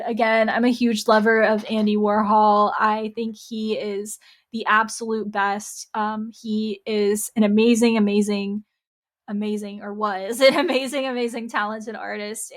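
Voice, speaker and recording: Chatty young woman with a slightly hoarse voice. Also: on the high side. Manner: chatty, upbeat, with fillers and laughs. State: slightly hoarse, dry throat. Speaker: podcast host. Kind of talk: casual solo podcast talk.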